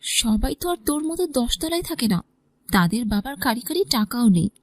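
A woman voicing a sharp-tongued, sulky young woman with clipped, cutting delivery in an audio story. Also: a little high, big pitch swings, normal speed. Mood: curt, cutting. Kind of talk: dramatized romantic audio story.

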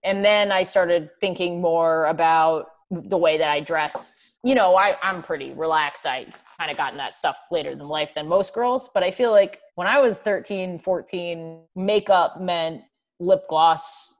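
Woman, early thirties, comedian guest, lowish voice, relaxed, reflective, casual swearing, video call from home. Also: unhurried. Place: bright room at home, indoors. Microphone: over-ear headphones on a video call.

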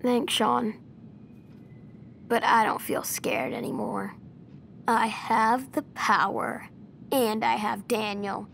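A woman's voice: a little high, fairly even intonation, slow, vocal fry, long pauses, fairly bright tone, a little hiss or hum, heavily acted. A woman voicing an earnest, imaginative young boy who plays at being a superhero, in a video game cutscene.

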